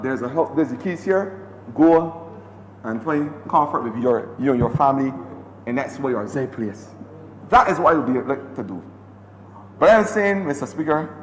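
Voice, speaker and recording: Barbadian man, MP, fiery repetitive floor speech, raised voice, booming chamber reverb. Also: a bit bright. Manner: impassioned, repetitive oratory. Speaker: member of parliament. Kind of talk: parliamentary debate speech. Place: parliament chamber. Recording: echoey chamber with long reverb and faint hiss.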